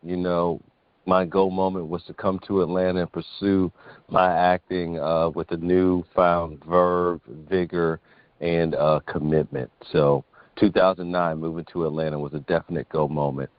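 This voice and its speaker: A middle-aged man, an actor, giving a deliberate, articulate answer as an interview guest. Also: deep voice, flat delivery, dry recording. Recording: dull lo-fi online call.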